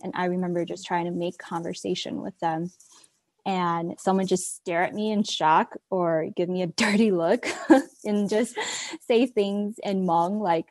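A woman's voice: lowish voice, steady tone, unhurried, a little mellow, no room sound. A young woman from Minneapolis candidly recounting what classmates said about her. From Minneapolis, USA. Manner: candid, recounts quoted remarks. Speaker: interviewee. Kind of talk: personal account.

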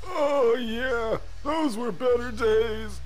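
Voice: medium pitch, drawn-out words, faint music behind, rough recording. A performer playing a cantankerous, foul-mouthed elderly character in a comedic horror audio drama, blunt and crotchety. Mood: wistful.

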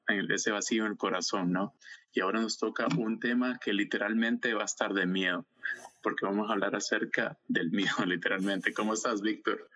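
A man's voice: lowish voice, bright, forceful tone, dull audio. A man co-hosting a faith community podcast, talking casually and explaining ideas with rhetorical questions. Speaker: podcast co-host.

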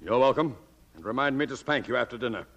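A man's voice: mid-range voice, expressive, fast talker, a bit bright, slightly rough. An actor playing a grumpy, blustering, sarcastic father in 1940s radio style, with an exasperated, pompous way of talking. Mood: dry, stern. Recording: dull old radio recording.

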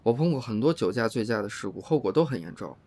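A man's voice: lowish voice, expressive, fast, darkish tone. A man in an audio drama playing a reserved, practical young man, speaking in a terse, understated way. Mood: reserved, evasive. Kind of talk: Chinese audio drama acting.

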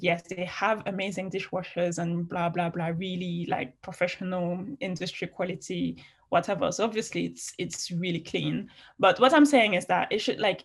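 A woman with a slight French accent mixed with British, answering interview questions in a chatty, frank way with many fillers. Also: mid-range voice. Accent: French, with some British. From France. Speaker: interview guest, fashion writer.

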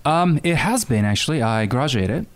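A Japanese musician speaking English as a guest, hesitant and pausing often with ums as he searches for words. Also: medium pitch, expressive. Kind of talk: casual interview.

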